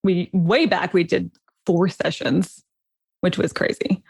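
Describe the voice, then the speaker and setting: A woman co-hosting a coaching podcast in casual chat, her voice slightly raspy from a lingering cough. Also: on the low side, fairly even intonation, takes it slowly. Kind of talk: casual chat between co-hosts.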